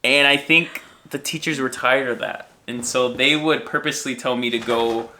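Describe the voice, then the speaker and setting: A man's voice: medium pitch, steady tone, normal speed, sharp tone, slight background noise. A young American man telling personal stories in a casual, rambling, self-deprecating way on a podcast. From United States, raised in Minnesota. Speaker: podcast guest and co-host sharing his story. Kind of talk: casual conversational storytelling.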